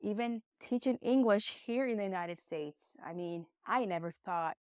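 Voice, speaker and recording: A young woman, a Portuguese speaker, giving a conversational online English lesson with encouraging, expressive emphasis. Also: medium pitch, moderate pace, clean tone, dry recording, studio quality. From Brazil. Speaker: English teacher.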